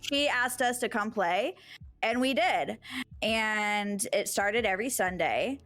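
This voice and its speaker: A woman streamer as an interview guest, talking in a relaxed, rambling way with many fillers. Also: mid-range voice, slow, faint music behind. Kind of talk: casual interview chat.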